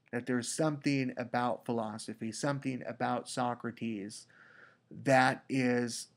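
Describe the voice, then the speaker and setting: Male teacher with a cold, congested, unhurried lecture delivery with restarts. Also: medium pitch, darkish tone. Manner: slow, deliberate, with repeated restarts. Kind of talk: recorded lecture. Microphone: computer mic for a screen recording.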